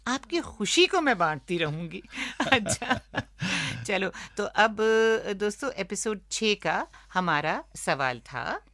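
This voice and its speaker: An Indian woman co-hosting a radio show in a playful, expressive way, moving between scripted presenting and banter. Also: mid-range voice, slow pace, no room sound, studio quality.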